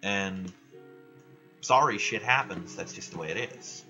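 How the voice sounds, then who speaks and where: Young guy ranting loud over his game, swears a lot, lowish voice, muffled lo-fi mic with faint music behind. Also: measured pace. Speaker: gaming vlogger. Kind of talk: casual rambling chat while playing a game.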